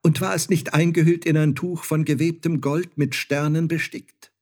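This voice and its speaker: A man's voice: on the high side, measured pace, a little mellow, breathy edge, pitched above the performer's own voice. A man voicing a ragged beggar woman who pleads with her lost son. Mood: pleading. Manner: pleading, imploring.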